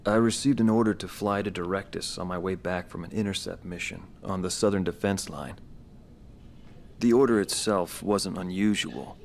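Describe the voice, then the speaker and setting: An actor playing a sombre, haunted former fighter pilot, speaking in a subdued, reflective documentary interview. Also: male voice, deep voice, moderate pace, creaky, a little hiss or hum.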